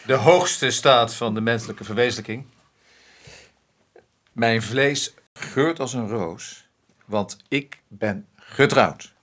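An actor playing an opinionated, jesting politician with a declamatory, mock-solemn manner in a Dutch radio drama. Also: male voice, mid-range voice, slow pace, fairly bright tone. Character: Onno, opinionated, pompous, jesting social-democrat politician and husband.

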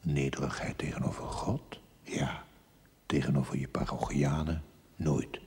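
Very deep, whispery scolding inner voice. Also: male voice, level tone, unhurried, vocal fry, a little mellow, full character voice. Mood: reproving, scornful. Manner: curt, reproving, whispery. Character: stern inner voice scolding a young priest. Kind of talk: television drama voice-over. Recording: reverberant hall with faint noise.

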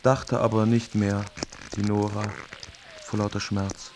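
A man's voice: lowish voice, level tone, unhurried, noisy background, close to an everyday voice. An actor playing a young man who narrates a bleak love tragedy in a matter-of-fact way, in a literary radio drama. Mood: grim narration. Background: music behind the voice.

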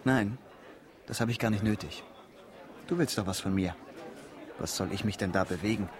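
A man's voice: fairly low pitch, moderate pace, close to an everyday voice. Actor as a cocky young man amid chatter. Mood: dismissive. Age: young adult. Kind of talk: naturalistic radio drama acting.

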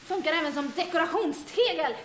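High-voiced wry schemer, echoey. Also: female voice. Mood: wry, practical. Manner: matter-of-fact, wry. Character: brisk, unflappable, pragmatic woman resistance schemer. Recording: echoey room, faint noise.

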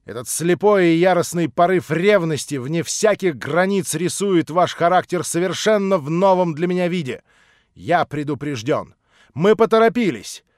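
A man reading an audiobook voices an older nobleman, lowered, measured and cool. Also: no echo, clean studio sound, natural voice. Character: older nobleman, cold, condescending and smooth.

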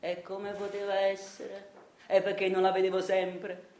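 An actress playing a dreamy, henpecked, bookish husband, confiding his story in a hushed theatrical monologue. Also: medium pitch, monotone, a little slow, roomy sound, natural voice. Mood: pensive, confiding. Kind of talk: theatrical radio monologue narration.

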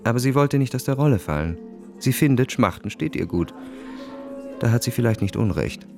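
An actor playing a suave, cynical, bored young aristocrat in a radio drama, narrating and speaking with smooth, ironic detachment. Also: male voice, medium pitch, lively intonation, fairly quick, a little mellow, soft background music. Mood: wry, detached.

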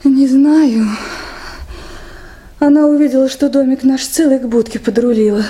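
An actress playing a naive, shaken young woman from a river station crew, halting and earnest, in Soviet radio drama style. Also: sits high, fairly even intonation, mellow, slightly rough. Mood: shaken, hurried recounting.

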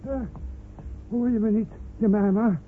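An actor playing a timid, bumbling old villager who stammers and hesitates, in a radio drama. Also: male voice, high voice, fairly even intonation, unhurried, soft background music. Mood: nervous, hesitant. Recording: tinny, noisy old radio recording.

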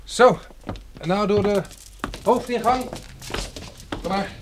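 An actor playing a reluctant captive who guides others, dry and sardonic, in a Dutch radio play. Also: male voice, high voice, slow pace, very noisy. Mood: tense, guiding.

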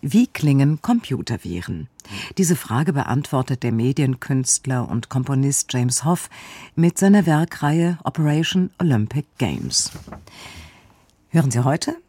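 Soft, husky, mellow radio presenter. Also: mid-range voice, very animated, measured pace. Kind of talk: scripted programme announcement.

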